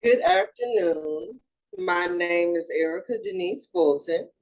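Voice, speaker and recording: A woman in her thirties from Houston, a grief and trauma therapist, giving an earnest, measured presentation with small fillers and self-corrections. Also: lowish voice, darkish tone, poor audio. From Houston, Texas. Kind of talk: recorded educational presentation.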